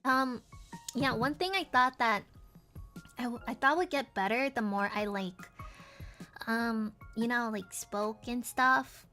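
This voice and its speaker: A woman streaming from home, chatting with her viewers in a loose, rambling way full of fillers and soft giggles. Her voice sits a little high and sounds tired and strained. She is close to the mic, with faint music in the background. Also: takes it slowly. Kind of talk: casual chat with viewers.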